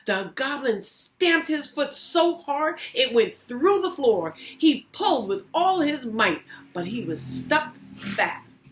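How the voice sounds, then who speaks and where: A woman narrating a children's story as a reading teacher, deliberate and theatrical in her phrasing. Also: high-pitched, sing-song. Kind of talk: expressive children's read-aloud narration.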